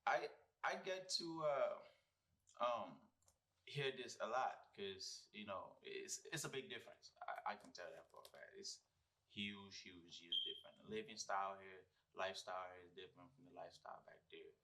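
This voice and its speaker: A young man with a Liberian accent, a guest musician chatting casually in an interview, relaxed and quick to laugh. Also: deep voice. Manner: relaxed, laughs often, restarts words.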